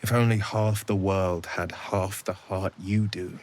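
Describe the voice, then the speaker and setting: An actor playing a gallant, earnest young warrior hero in a video game, courtly and gently teasing in his speech. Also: sits low, fairly even intonation, measured pace. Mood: warm, wistful. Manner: courtly, eloquent phrasing with soft hesitations. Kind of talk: video game dialogue acting.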